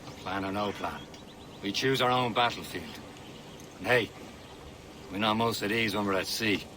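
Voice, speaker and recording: Low, dry assassin comrade. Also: male voice, normal speed, a little hiss or hum. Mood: steady, loyal. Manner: matter-of-fact, dry. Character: steady, loyal male assassin comrade. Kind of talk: game cutscene voice acting. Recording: loud outdoor ambience behind voice.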